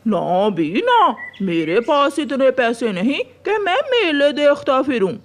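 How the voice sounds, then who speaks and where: A woman's voice: high voice, big pitch swings, slow, exaggerated voice. A woman voicing a lazy, sarcastic male crow husband in a cartoon, with a dismissive manner. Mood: sarcastic, irritated.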